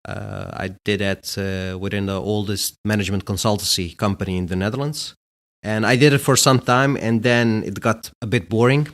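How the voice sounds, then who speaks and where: A man's voice: on the low side, steady tone, normal speed. A middle-aged man from Bosnia, a guest answering interview questions in a casual, hesitant way with many fillers. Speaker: guest, company executive.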